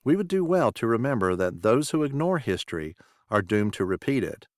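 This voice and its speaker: Guy hosting a podcast, reading his intro formally, deeper voice, really varied pitch. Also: very animated, a little fast, a little mellow, dry recording, studio quality. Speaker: podcast host. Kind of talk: scripted spoken introduction.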